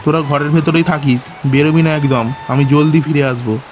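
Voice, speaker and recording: A man voicing a gentle, worried poor village father in a cartoon. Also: fairly high pitch, fairly even intonation, fairly quick, noisy background, natural voice. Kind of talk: cartoon voice acting.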